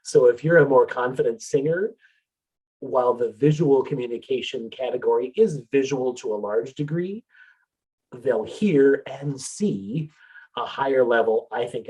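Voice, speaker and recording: A man giving an educational talk on singing technique, explaining in a relaxed way with filler words. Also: medium pitch, slightly rough, low-quality recording. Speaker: vocal coach presenter.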